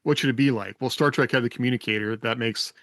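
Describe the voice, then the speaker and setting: A man's voice: medium pitch, fairly even intonation, quick pace, a bit bright, no room sound, clean studio sound. A man co-hosting a podcast in a casual panel chat. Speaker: podcast co-host.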